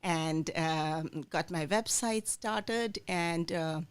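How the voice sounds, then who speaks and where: A woman's voice: fairly low pitch, drawn-out words, smooth. A middle-aged woman from India, a dietitian, telling her life story as a podcast guest, with many fillers.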